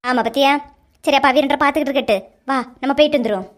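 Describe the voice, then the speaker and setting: A woman's voice: high voice, very fast, lightly acted. A woman voicing a grumbling, easily offended middle-aged village woman in a cartoon, with a Kanyakumari Tamil accent. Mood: offended, scolding. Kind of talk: cartoon comedy dialogue.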